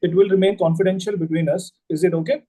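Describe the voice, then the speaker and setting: A man's voice: high voice, fairly even intonation, fast, mellow, breathy edge. A man practising a doctor's consultation, asking history questions politely and formally, with some hesitation. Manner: polite, hesitant, formal, often says ma'am. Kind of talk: role-played clinical history taking.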